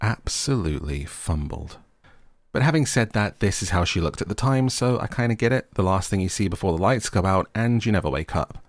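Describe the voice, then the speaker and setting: A man's voice: low voice, animated, fairly quick, dry recording, clean studio sound. A man hosting a scripted recap with playful, tongue-in-cheek asides. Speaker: host of a recap and review channel. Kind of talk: scripted recap narration with wry jokey asides.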